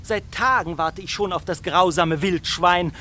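A man's voice: sits high, a little slow, pushed and bright, slight background noise. A man playing a timid but stubborn little fairy-tale tailor, plaintive and petulant, in a children's radio play. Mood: lamenting.

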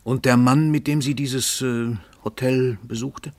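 An actor playing a world-famous, self-assured writer in a radio play, urbane and ironic, with condescending amusement. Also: male voice, mid-range voice, fairly even intonation, measured pace, a little mellow, dry recording, natural voice. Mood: mocking curiosity. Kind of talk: German radio play acting.

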